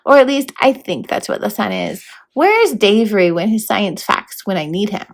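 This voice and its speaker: A woman's voice: mid-range voice, animated, normal speed, creaky. A woman voicing a plucky, wry young schoolgirl heroine with a casual, questioning manner in a storybook read-aloud. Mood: doubtful.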